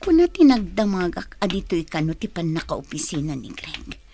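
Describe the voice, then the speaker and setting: A woman's voice: fairly low pitch, very animated, normal speed, background noise. A woman with a Filipino accent playing a headstrong, jealous rich daughter and wife in an audio drama. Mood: curious, suspicious.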